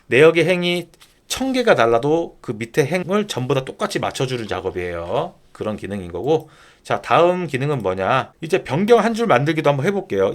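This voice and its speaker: A man narrating a software tutorial step by step in informal polite speech, often posing a question and then answering it himself. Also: mid-range voice. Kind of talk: screen-recording tutorial narration, explaining step by step.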